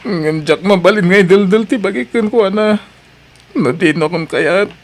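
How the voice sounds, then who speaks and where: A man's voice: high-pitched, normal speed. A man acting an earnest, dutiful young husband and student in an audio drama, with a pleading way of talking. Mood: hurt, pleading.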